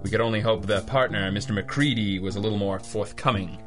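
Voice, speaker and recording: An actor playing a tough, wry private detective, dry and world-weary in old-time radio drama style. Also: male voice, fairly low pitch, steady tone, brisk, faint music behind, natural voice. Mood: wry, brooding. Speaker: detective and narrator.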